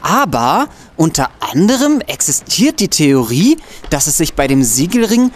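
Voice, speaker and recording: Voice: medium pitch, very animated, a little slow. An audio-drama performer playing a boastful, geeky student hacker, an eager and cocky explainer. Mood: excited. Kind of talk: German audio drama acting.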